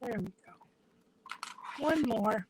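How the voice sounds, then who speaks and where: A woman's voice: fairly high pitch, expressive, drawn-out words, darkish tone, husky, poor audio. A woman who is losing her voice gives a chatty, step-by-step craft tutorial at her worktable, talking to viewers as she works. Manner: chatty, talks to viewers while working with her hands. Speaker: independent stamping demonstrator. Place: at a craft table.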